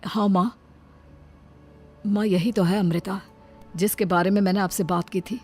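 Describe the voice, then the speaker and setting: A woman's voice: mid-range voice, fairly even intonation, a little slow, a little mellow, husky, soft background music. A woman voicing an earnest young husband and dutiful son in a cartoon story, reasoning with his family.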